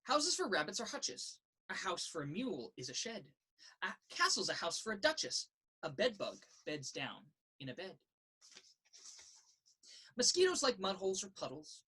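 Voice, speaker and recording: A woman reads a children's picture book aloud. Her pitch moves in a lively, expressive way through a sing-song rhythm. The voice has a husky, slightly rough edge. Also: moderate pace. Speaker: story reader. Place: indoors in a children's library.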